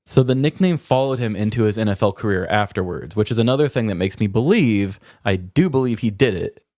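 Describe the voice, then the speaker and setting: Man, lowish voice, dry close sound, casual comedic storytelling, rambling podcast chat. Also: expressive, fairly quick, a little mellow, no echo. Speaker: podcast co-host. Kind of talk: casual comedic chat, telling odd crime stories.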